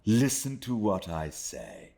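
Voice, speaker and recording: A man voicing a cruel, proud woman who speaks with slow, sneering contempt. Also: on the low side, huge pitch swings, warm tone, pitched above the performer's own voice, full character voice. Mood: scornful.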